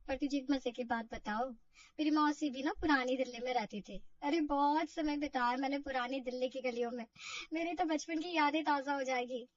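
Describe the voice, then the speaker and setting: A woman's voice: high-pitched, brisk, no room sound, recorded from a distance, dull audio, lightly acted. A woman voicing a kindly, easygoing middle-aged aunt in a family drama story, chatty and reassuring.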